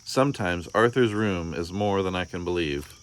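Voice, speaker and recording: A man reading a children's picture book aloud as a parent narrator, in a measured, expressive storytelling manner. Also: deep voice, a little hiss or hum. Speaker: storybook reader.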